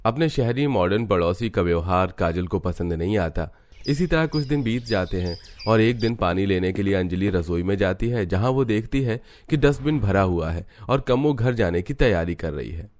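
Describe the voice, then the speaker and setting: A man narrating a cartoon story in a steady, scripted storytelling manner. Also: on the low side, normal speed.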